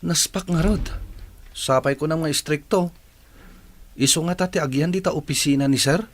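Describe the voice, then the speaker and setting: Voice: mid-range voice, normal speed, close to an everyday voice. An actor with an Ilocano accent playing a dutiful, worried young working student in a radio drama.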